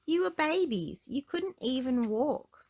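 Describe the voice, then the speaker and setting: Woman as an animated, patient mother pig. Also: sits high, measured pace, pitched above the performer's own voice. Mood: amused, patient. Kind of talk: children's storybook character voice.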